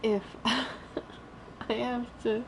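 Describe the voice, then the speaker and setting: A woman's voice: on the high side, little pitch movement, extremely slow, gravelly, a little hiss or hum, low-quality recording. A woman game streamer giving casual livestream commentary in an informal, chatty, rambling way.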